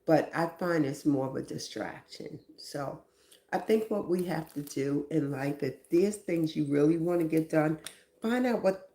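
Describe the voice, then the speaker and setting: A woman's voice: deep voice, mellow, roomy sound. A woman hosting a live stream, giving reflective motivational talk and often asking "right?".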